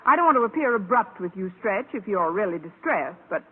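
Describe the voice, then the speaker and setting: A woman playing a wry, quick-witted high school teacher with dry, sarcastic delivery in an old radio comedy. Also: sing-song, breathy edge. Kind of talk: 1940s-50s radio comedy acting and narration. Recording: noisy, tinny old radio broadcast.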